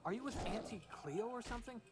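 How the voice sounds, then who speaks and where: An actor playing a wounded, officious frontier guard in a video game, folksy and earnest, reciting company patter in a drawl. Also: male voice, very high-pitched, lively intonation, fast, loud music behind the voice. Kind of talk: video game character acting.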